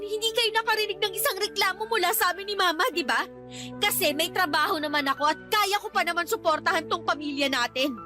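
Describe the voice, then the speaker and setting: A Filipino woman playing an outspoken, fed-up young adult daughter in a radio drama, indignant and reproachful. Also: very high-pitched. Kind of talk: Tagalog radio drama acting. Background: background music.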